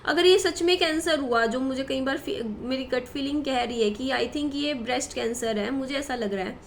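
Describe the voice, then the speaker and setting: A woman's voice: high voice, moderate pace, slight background noise. A woman in her thirties talking to her viewers in a worried, rambling way, with many fillers and restarts. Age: 30s. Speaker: vlogger. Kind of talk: personal talk to camera.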